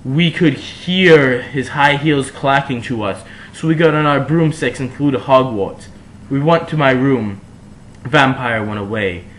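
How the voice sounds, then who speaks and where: A man's voice: mid-range voice, unhurried, a little hiss or hum, rough recording. A man reading fan fiction aloud in a mock-serious, theatrical and exaggerated way, with sardonic asides.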